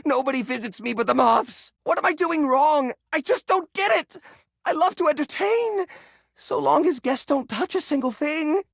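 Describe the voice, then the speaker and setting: Fussy male junk drawer character from a game, super animated, stammery nervous hoarder type, dry studio sound. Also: extremely high pitch, moderate pace, vocal fry, no echo, heavily acted. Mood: wistful, dejected. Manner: flustered, stammering, theatrical. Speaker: video game character voice actor.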